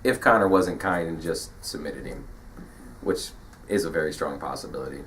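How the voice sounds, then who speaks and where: A man co-hosting a podcast in casual chat, laid-back and quick to agree, sometimes acting out what a fighter might say. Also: a bass voice, fairly bright tone. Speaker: podcast co-host. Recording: voice a bit far from the mic, faint hiss.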